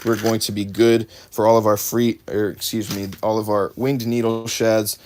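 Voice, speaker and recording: A man's voice: lowish voice, level tone, moderate pace, darkish tone. A man hosting a live unboxing, chatting casually with viewers and correcting himself as he goes, his voice a little worn. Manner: chatty, talks to chat viewers by name, self-correcting. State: slightly losing his voice. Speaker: fishing livestream host.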